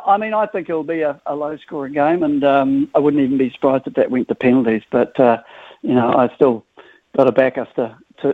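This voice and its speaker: A man in his fifties with a New Zealand accent, a football supporter talking enthusiastically in a radio phone interview, full of halting restarts. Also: mid-range voice, no echo. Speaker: football supporters club guest. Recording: tinny phone line.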